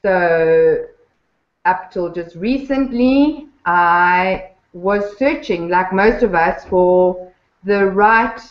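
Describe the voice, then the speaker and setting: Enthusiastic woman on an echoey line. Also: mid-range voice. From Zimbabwe. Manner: warm, enthusiastic, unhurried with thoughtful pauses. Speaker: guest. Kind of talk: casual interview chat. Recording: echoey, dull lo-fi remote line.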